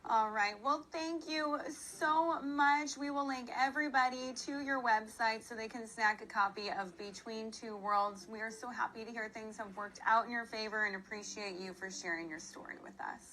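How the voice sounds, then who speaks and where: A woman's voice: a little high, fairly even intonation, moderate pace, hardly any pauses, bright, forceful tone, rough recording. A woman hosting a TV interview, asking questions in an upbeat, friendly way. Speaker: TV news host. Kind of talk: morning TV interview.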